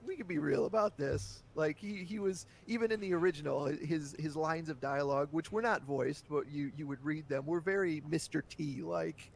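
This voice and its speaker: A man's voice: a little high, normal speed, vocal fry, hardly any pauses. A man guesting on a podcast, talking candidly in casual chat and breaking into laughter easily.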